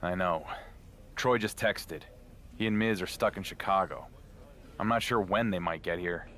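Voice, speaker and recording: A man's voice: deep voice, moderate pace, a bit bright. An actor playing a level-headed, skeptical champion wrestler in a video game cutscene, talking as a dry, incredulous straight man. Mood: casual, agreeable.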